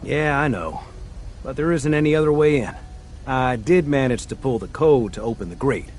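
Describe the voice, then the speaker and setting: A man's voice: medium pitch, animated, normal speed, darkish tone, slight background noise, close to an everyday voice. An actor voicing a friendly male mechanic in a game who eagerly explains a plan step by step.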